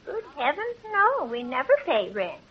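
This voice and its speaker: A woman's voice: sits high, wildly swooping pitch, moderate pace. An actress playing a dotty, primly agreeable elderly spinster in a 1930s radio comedy, drawing out her words. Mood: primly agreeing. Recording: dull 1930s radio recording.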